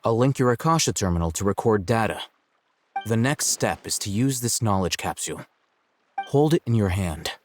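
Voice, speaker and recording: A man's voice: on the low side, animated, moderate pace, slight background noise, lightly acted. A man playing a composed, analytical young scholar in a video game, explaining things in a formal, matter-of-fact way. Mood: instructing.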